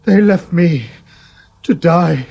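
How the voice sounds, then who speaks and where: A man's voice: fairly high pitch, animated, slow, creaky, a little mellow, dull audio, heavily acted. An actor playing the ghostly voice of a dead man, moaning and pleading for help in a radio drama. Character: ghostly voice of a man left to die in the cold.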